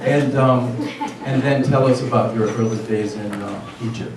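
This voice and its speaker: A man's voice: mid-range voice, steady tone, normal speed, crowd noise. Man hosting in a very echoey room. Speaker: event host and interviewer. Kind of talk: informal spoken introduction and questions. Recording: echoey room, distant mic, faint noise.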